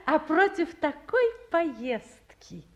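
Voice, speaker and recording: A woman's voice: sits high, muffled recording. An actress playing a middle-aged mother, tender but guarded, in theatrical 1950s Soviet radio play style. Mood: gracious, coaxing. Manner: theatrical, expressive, slips between hushed pleading and brisk hospitality.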